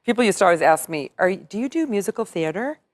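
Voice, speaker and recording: A woman's voice: mid-range voice, expressive, fast, a little hiss or hum. A middle-aged woman, chatting upbeat about her work as an entertainer in an interview. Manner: chatty, upbeat, laughs easily. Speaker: entertainer and singer. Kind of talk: casual interview chat.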